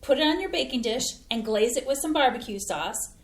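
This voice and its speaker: A woman's voice: fairly high pitch, expressive, a little fast. Folksy, eager-to-please mom who loves her own cooking, read by a woman; bouncy delivery, echoey stage. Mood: chatty. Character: cheerful homey mother, proud eager home cook. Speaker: stage reading actor. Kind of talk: community theater staged reading. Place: small community playhouse stage.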